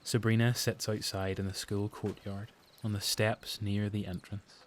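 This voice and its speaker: A man's voice: lowish voice, flat delivery, measured pace, a little hiss or hum. A man with a Northern Irish accent giving a scripted, dramatic narration for an audio drama. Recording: prominent music behind narration.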